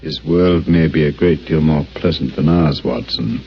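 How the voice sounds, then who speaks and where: An actor playing a brilliant, composed detective, speaking in a measured, precise and authoritative way in a 1940s radio play. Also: male voice, rumbling low, husky, exaggerated voice. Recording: muffled old radio broadcast.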